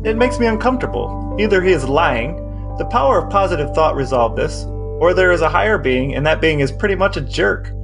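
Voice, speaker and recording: A man's voice: on the high side, expressive, brisk, gravelly. An American man co-hosting a podcast, reading messages aloud and talking. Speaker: podcast co-host. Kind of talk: dramatic reading of text messages and podcast talk. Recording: guitar music behind the voice. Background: guitar music.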